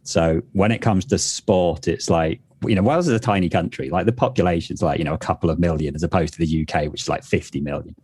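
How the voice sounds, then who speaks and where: A middle-aged man chats easily as a podcast co-host, his voice very low. His sentences loop and restart as he talks. The sound is close and dry, with no room around it. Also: quick pace, hardly any pauses, a little mellow, husky, no room sound. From England. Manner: chatty, with frequent restarts and fillers. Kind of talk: casual chat.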